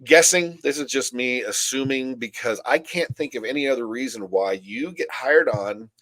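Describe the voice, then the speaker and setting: A man's voice: medium pitch, moderate pace, low-quality recording. A middle-aged man from the United States co-hosting a podcast, talking bluntly and animatedly in casual opinionated chat. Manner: blunt, animated, acts out whiny quotes. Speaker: podcast co-host.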